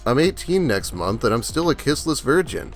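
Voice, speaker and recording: A man's voice: mid-range voice, sing-song, moderate pace, soft background music, close to an everyday voice. A man reading as a self-pitying, aggrieved teenage male in plaintive broken English for a comedy video. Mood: insistent.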